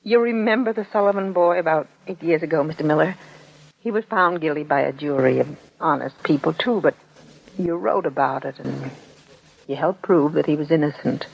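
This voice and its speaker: A woman's voice: low voice, moderate pace, creaky. An actress playing a humble, determined mother of sixty-seven, pleading earnestly and plainly in a 1940s radio drama. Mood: earnest, insistent. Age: 60s. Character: humble, determined 67-year-old mother pleading for her son. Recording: dull lo-fi 1940s radio broadcast.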